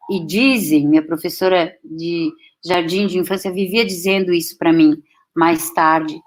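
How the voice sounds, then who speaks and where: A woman nearing sixty who grew up speaking German at home speaks slowly and thoughtfully to an audience. She takes her time, pauses often and restarts sentences as she finds her words. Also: mid-range voice. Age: 50s. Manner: slow, reflective, with many pauses and restarts. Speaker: guest speaker. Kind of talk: personal talk to an audience.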